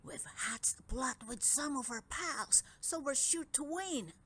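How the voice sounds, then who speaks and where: A woman's voice: sits high, animated, a little slow, sharp tone, pitched above the performer's own voice, exaggerated voice. A woman voicing a gleeful, scheming young dragon troublemaker in a children's storybook, with a boisterous manner. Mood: conspiratorial.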